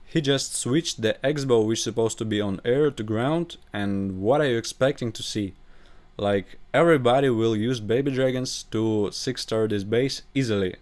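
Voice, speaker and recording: A young man with a Russian accent, a gaming channel host commenting over his gameplay in halting English, with grammar slips. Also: medium pitch, darkish tone. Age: young adult.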